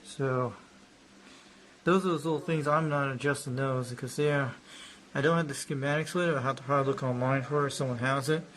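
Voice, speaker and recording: A man's voice: medium pitch, fairly even intonation, fairly quick, a bit bright, a little hiss or hum, rough recording. A man narrating casually as he shows off an old radio, rambling and repeating himself. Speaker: hobbyist showing a radio. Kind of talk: casual show-and-tell narration.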